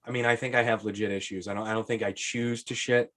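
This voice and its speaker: A man's voice: on the low side, little pitch movement, quick pace, fairly bright tone. A man in his late thirties with a head cold, talking bluntly and offhandedly as a podcast co-host. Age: 30s. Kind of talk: casual chat.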